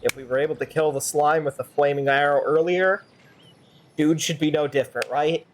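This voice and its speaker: A woman's voice: deep voice, steady tone, moderate pace, slight background noise. A woman streaming a game, improvising fan-dub commentary in a wry, sardonic, rambling way. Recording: faint game music behind. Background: faint game music.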